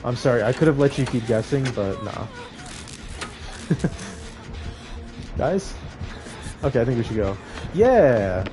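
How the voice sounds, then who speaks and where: A man's voice: mid-range voice, animated, unhurried, long pauses. A man livestreaming a video game, chatting casually in informal slang and reacting aloud as he plays. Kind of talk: casual gaming stream chat with live reactions. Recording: music playing behind the voice. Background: music.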